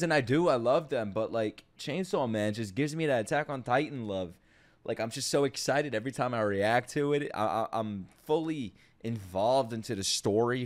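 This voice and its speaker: A young man giving casual, excitable commentary as he reacts to an anime, swearing freely and breaking into shouts and laughter. He talks into a boom-mounted desk mic at home. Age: young adult. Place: home streaming room. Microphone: boom-mounted desk mic, wearing headphones.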